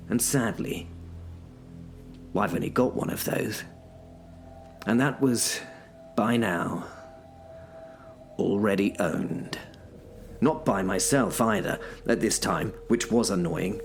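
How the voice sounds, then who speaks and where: A man tells a horror audio drama in the first person, in an unhurried, low voice. His delivery is dry and self-mocking. A faint music bed sits underneath. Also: gravelly. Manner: wry, self-mocking, dry British humour. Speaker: narrator and lead character.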